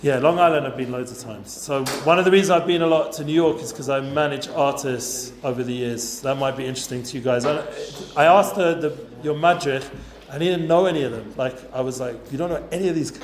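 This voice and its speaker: A man's voice: mid-range voice, brisk, few pauses, a little hiss or hum. A man, talking casually to a youth group with lots of fillers and asides. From North London, England. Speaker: guest speaker for students. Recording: roomy echoey room.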